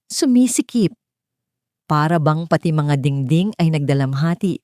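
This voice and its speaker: A woman's voice: low voice, mellow, no echo, studio quality. A woman narrating a scripted dramatic story as its storyteller.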